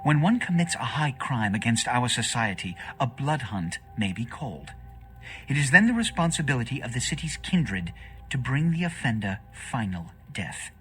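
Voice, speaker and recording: A man playing an authoritative, aloof vampire leader in a video game, speaking in a haughty, measured, formal way. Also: mid-range voice, sing-song, pushed and bright, soft background music. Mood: scornful, lecturing. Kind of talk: video game dialogue acting.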